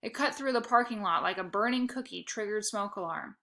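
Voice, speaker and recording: Bright, expressive woman reading a children's book. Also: medium pitch, a little fast. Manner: expressive, playful first-person reading. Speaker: narrator. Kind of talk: reading a children's novel aloud.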